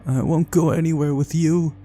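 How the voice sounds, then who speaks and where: A paranoid young man from a visual novel, acted out by a man, frightened and agitated. His voice is raised above the narrator's usual pitch and loud, with music underneath. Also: fairly even intonation, moderate pace, natural voice. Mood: panicked, defiant. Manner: agitated, mumbling.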